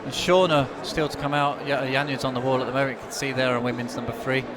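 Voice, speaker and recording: A man co-commentating live on a climbing competition, enthusiastic and excitable, often repeating words as he reacts to the action. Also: mid-range voice, quick pace. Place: big climbing competition hall with a large crowd. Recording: loud crowd and background noise in an arena.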